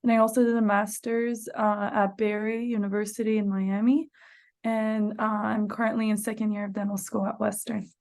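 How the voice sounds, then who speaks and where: A young woman from Iran introduces herself as a dental student. Her voice is mellow and fairly even, with little rise and fall. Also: medium pitch, normal speed. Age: young adult. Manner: brief self-introduction.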